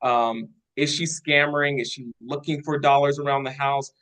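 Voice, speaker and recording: A man giving spoken critique in a measured, constructive way, organized point by point, as a participant in a script workshop. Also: mid-range voice, fairly even intonation, fairly bright tone. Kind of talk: spoken critique at a table read.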